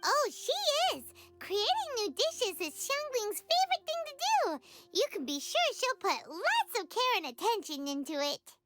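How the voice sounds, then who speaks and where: A woman voicing a tiny, perky, chatty fairy companion with a childlike, excitable manner in a video game. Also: very high-pitched, measured pace, faint music behind, exaggerated voice. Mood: cheerful, eager. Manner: speaks of self in third person, excitable.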